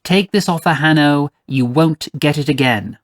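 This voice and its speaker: A man's voice: on the high side, moderate pace, close to an everyday voice. A man voicing a haughty, stingy queen with an imperious, clipped way of bargaining, in a storybook reading. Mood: haughty, dismissive. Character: haughty, stingy, commanding queen, mother of a child.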